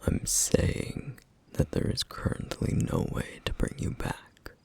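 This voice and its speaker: A man playing a somber, duty-bound, protective flame demon in an ASMR roleplay, speaking in a hushed, halting, formal way with a rough, breathy voice. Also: lightly acted. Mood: grave, hesitant. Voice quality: breathy, husky, rough whisper. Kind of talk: ASMR roleplay, intimate scripted monologue.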